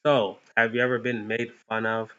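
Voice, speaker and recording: A man's voice: mid-range voice, level tone, normal speed, sharp tone, smooth. A young man with a lisp giving a playful, earnest motivational talk to viewers as a vlog host, with cocky bursts of emphasis. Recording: dull lo-fi sound.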